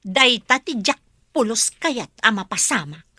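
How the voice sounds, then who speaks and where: A woman's voice: a little high, big pitch swings, measured pace, pushed and bright, husky, no room sound, clean studio sound, heavily acted. A woman with an Ilocano Filipino accent playing a bossy, greedy schemer in a melodramatic drama. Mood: scheming. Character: scheming greedy woman plotting to seize an inheritance.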